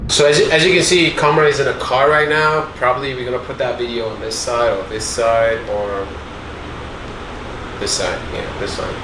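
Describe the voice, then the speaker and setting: A man's voice: medium pitch, steady tone, normal speed, over a noisy crowd. A man talking casually as a test partner, using short, repeated radio-check phrases. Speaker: test partner in a gadget review. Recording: echoey, clear background noise.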